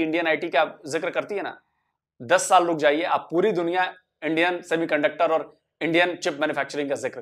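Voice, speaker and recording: A man with a slightly raised pitch talks to the camera in a measured, persuasive way. He builds his points by repeating key phrases, steady and earnest.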